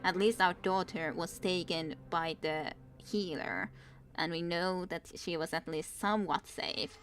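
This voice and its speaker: A woman from Finland talks in a relaxed, chatty way over her gameplay, her Finnish accent clear in every line. Her voice sits a little low, and she laughs easily as she answers her viewers. Soft game music plays quietly behind her. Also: a little slow. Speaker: streamer. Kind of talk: casual gameplay commentary.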